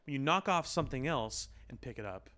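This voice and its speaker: Male podcast co-host, average pitch, analytical and chatty, fond of extended metaphors. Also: expressive, a little fast, bright, forceful tone. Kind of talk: casual chat.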